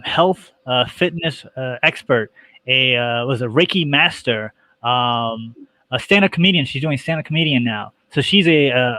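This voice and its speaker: A middle-aged man speaks casually as a guest on a show. His pitch is average and the sound is dry and close to roomless. He works through details in a halting, itemizing way. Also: sharp tone, no room sound, rough recording. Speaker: guest, radio show host. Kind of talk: casual interview talk.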